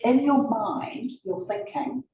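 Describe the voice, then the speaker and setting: A woman's voice: on the high side, takes it slowly, warm tone, slight background noise. A woman with a New Zealand accent giving a conversational talk to a live audience, turning to the listeners with asides. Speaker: presenter. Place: event room. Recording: roomy, from a distance.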